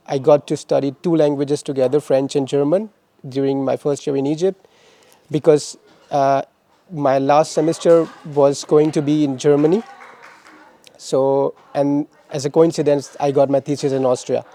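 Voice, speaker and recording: Halting young man from Pakistan, flat and mellow. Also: fairly high pitch. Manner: halting, many restarts and repeated words. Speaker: interviewee, former master's student. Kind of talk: casual interview answers.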